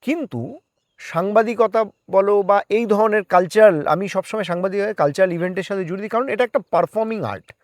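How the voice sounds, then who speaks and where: A man's voice: high-pitched, a little fast, no room sound. A man talks as a guest in a conversational interview. He explains his views, slipping English words into his speech. The sound is dry, with very little room in it. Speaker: guest, part-time journalist.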